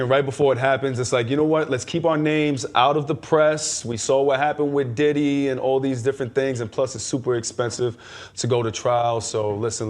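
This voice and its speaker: A man with a medium-pitched voice speaks as a panelist on a culture news show. He is casual and opinionated, using slang as he lays out the background in detail. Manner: conversational, slangy, explains in detail.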